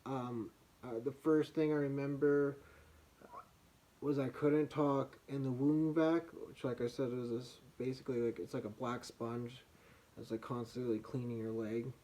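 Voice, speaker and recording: A man with a soft voice from slightly damaged vocal cords, telling a personal story as a vlogger in a halting way, with pauses and restarts. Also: medium pitch, level tone.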